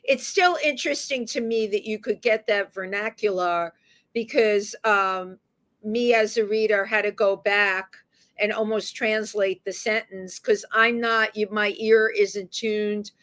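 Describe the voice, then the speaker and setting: A woman's voice: medium pitch, steady tone, measured pace, a bit bright. A woman from the Bronx, hosting a radio interview in a chatty, rambling way. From the Bronx, New York. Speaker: radio talk show host.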